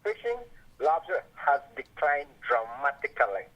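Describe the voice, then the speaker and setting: A man's voice: mid-range voice, measured pace, fairly bright tone. Belizean man on a dull phone line. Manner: stern, emphatic, complaining. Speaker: radio caller, tour guide. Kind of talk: casual phone-in opinion.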